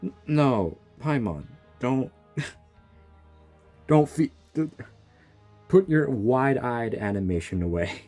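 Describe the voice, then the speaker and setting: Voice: mid-range voice, expressive, takes it slowly, long pauses, dark tone, soft background music. A gamer giving casual reaction commentary on a game story, bantering in a teasing, exasperated way.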